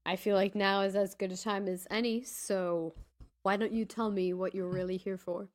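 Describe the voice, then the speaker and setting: A woman's voice: mid-range voice, steady tone, normal speed, darkish tone, lightly acted. A woman playing a haughty, suspicious fantasy shopkeeper in a tabletop game, with stiffly formal, pointed wording. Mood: wary.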